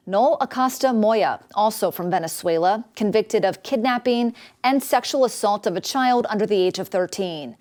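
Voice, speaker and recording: A woman's voice: mid-range voice, darkish tone. A woman with an American accent delivering scripted news narration as a reporter.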